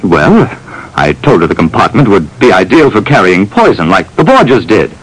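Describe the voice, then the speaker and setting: A man's voice: on the low side, big pitch swings, moderate pace, husky, poor audio. An actor playing a hesitant, genteel middle-aged businessman in an old radio drama. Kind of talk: 1940s radio acting.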